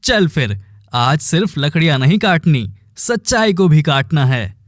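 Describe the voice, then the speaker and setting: A man's voice: fairly high pitch, sing-song, a little slow, no echo, studio quality, faint music behind. A man voicing an earnest, brooding young village woodcutter in a melodramatic cartoon. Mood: curious, intrigued.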